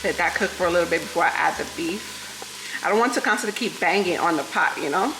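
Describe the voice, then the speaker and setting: A woman's voice: on the low side, fast, a bit bright, echoey room, slight background noise, low-quality recording. Chatty New York woman cooking at home. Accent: New York with a Southern lilt. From New York City. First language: Spanish. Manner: chatty, laughs often, talks to viewers as "y'all". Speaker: vlogger. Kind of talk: casual chat while cooking. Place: home kitchen with food frying.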